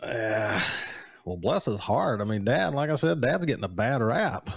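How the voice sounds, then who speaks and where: A man's voice: medium pitch, very animated, unhurried, gravelly, no room sound. A man in his late forties co-hosting a casual chat, teasing with dry jokes and banter. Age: 40s. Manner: wry, joking banter. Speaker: podcast co-host.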